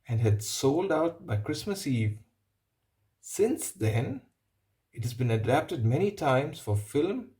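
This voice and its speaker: A man reading a picture book aloud, pausing for simple explanatory asides and checking in with the listener. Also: fairly high pitch, creaky, a little mellow. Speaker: storybook reader.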